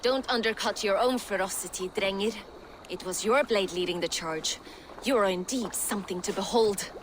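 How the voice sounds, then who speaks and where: A woman's voice: medium pitch, normal speed, slight background noise, lightly acted. An actress playing a bold, restless Viking warrior woman in a video game, wry and spirited in manner. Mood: admiring. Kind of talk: dramatic video game acting. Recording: music behind the voice.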